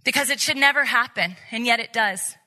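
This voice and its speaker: A woman's voice: fairly high pitch, fairly quick, pushed and bright. A woman conference speaker giving an earnest, exhorting faith talk, repeating phrases for emphasis. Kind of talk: motivational faith talk to an audience.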